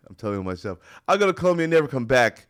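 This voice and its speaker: A man's voice: mid-range voice, very animated, quick pace, clear, resonant tone. A man with an American accent hosting a casual radio interview, joking and talking in loose slang. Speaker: radio talk show host. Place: radio studio.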